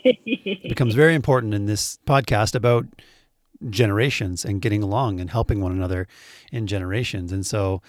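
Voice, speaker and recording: A man's voice: fairly low pitch, fairly quick, dry recording. A man in his thirties hosting a casual conversational interview, with some light teasing. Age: 30s. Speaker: podcast host and interviewer.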